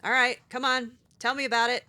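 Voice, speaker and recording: A woman's voice: on the high side, little pitch movement, a little fast, pushed and bright. A woman voicing her sarcastic, clever young adventurer character in a game, teasing as she talks.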